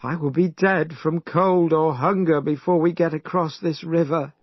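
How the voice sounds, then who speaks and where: A man's voice: a little high, steady tone, normal speed, dry recording, voice pushed up, lightly acted. A man doing a weepy young priest. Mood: tearful. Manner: whining, tearful. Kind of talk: storybook reading. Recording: dull dry recording.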